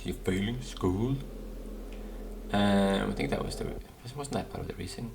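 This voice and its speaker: A man's voice: on the low side, normal speed, gravelly, background noise. A man hosting a casual gaming playthrough, musing aloud and often repeating phrases. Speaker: gaming video host.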